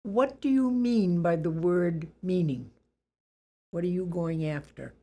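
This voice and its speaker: An elderly woman with a Boston accent, reflecting on her life in an interview in a deliberate, plain-spoken, good-humored way. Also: lowish voice, mellow. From Boston area, USA.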